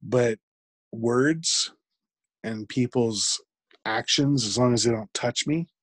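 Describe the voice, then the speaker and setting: A man, a guest in an interview, talking reflectively and haltingly, with frequent restarts. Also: medium pitch, no room sound, studio quality. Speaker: podcast guest. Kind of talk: conversational interview.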